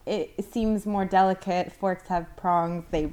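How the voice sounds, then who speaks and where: A woman's voice: lowish voice, steady tone, slow, a little mellow. Giggly woman musing aloud. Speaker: podcast co-host. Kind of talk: casual banter.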